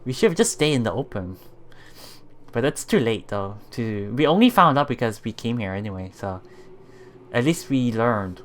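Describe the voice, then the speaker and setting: A streamer reading visual novel text aloud in a halting way, adding casual commentary over game music. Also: medium pitch, sing-song. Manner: halting reading, often repeating broken words. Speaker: let's player streamer.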